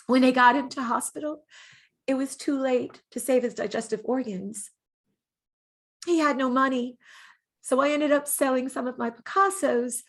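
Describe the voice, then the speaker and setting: Woman acting a wistful, high-voiced dead lover. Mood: grieving. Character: dead gentle lovelorn woman, artist's former lover, remembering. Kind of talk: dramatic monologue in an audio play reading.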